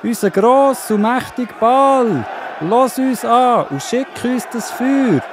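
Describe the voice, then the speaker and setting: A man's voice: very high-pitched, lively intonation, takes it slowly, a faint crowd, slight background noise, full character voice. A man playing a fervent, simple-minded idol worshipper, calling theatrically in a children's audio drama. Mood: fervent imploring.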